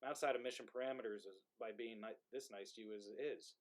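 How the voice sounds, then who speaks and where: A man's voice: on the low side, quick pace, lightly acted. A man playing a dead soldier's ghost in a play reading, wry and resentful, with a conversational, needling delivery. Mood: ominous. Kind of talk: play reading, modern drama.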